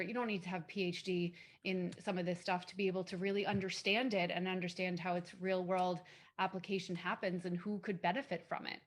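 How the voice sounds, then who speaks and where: A woman's voice: on the low side, a bit bright. Chatty woman panelist on a call. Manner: chatty, loose and conversational, many fillers. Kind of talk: casual panel discussion.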